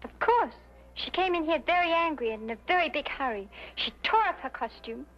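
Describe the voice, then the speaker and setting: A woman's voice: sits high, animated, brisk. An actress playing an earnest, obliging young showgirl singer in an old-time detective drama, telling things dramatically. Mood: earnest recounting. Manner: earnest, dramatic, gossipy recounting. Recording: muffled old radio-era recording.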